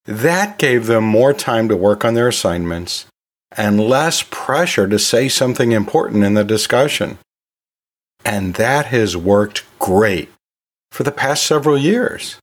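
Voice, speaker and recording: A middle-aged or older man hosting an educational podcast, talking conversationally and emphatically, with pauses and rhetorical asides. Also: lowish voice, lively intonation. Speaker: podcast host and college instructor.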